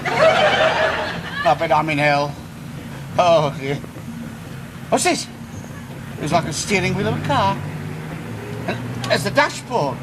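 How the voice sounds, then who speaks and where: A man's voice: sits high, wildly swooping pitch, takes it slowly, long pauses, exaggerated voice. A man with an English accent playing a bumbling suburban husband in a TV sitcom, hesitant and theatrically flustered. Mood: dazed, pleading. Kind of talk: British TV sitcom acting. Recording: very noisy old TV recording.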